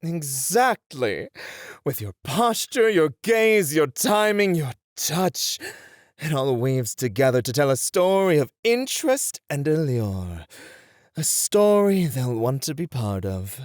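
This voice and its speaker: A man playing a courtly, gently teasing gentleman tutor of flirtation in a scripted ASMR roleplay, with genteel, flowery, measured speech. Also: a little high, big pitch swings, no echo, studio quality. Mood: suave, instructive.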